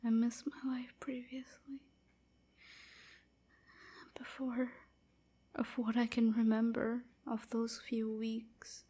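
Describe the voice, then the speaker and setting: A woman playing a gentle, wounded vampire slave in an intimate ASMR roleplay, whispering in a breathy, halting, submissive way. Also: on the high side, level tone. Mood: wistful, shy. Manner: slow, halting, hushed confiding.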